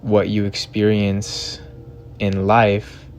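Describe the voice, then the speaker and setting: A man with a fairly low, very even voice guides a meditation. He speaks very slowly and deliberately, leaving long pauses between short phrases. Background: background music.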